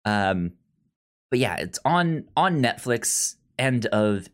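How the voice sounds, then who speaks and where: A man's voice: medium pitch, very animated, slow. A man co-hosting a casual movie review podcast, speaking enthusiastically and often repeating words as he thinks. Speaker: podcast co-host.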